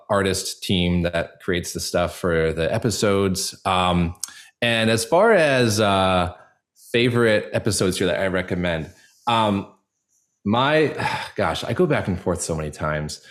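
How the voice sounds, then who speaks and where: Deep-voiced man chatting as an interview guest. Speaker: podcast guest, actor and audio drama producer. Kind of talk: casual interview chat.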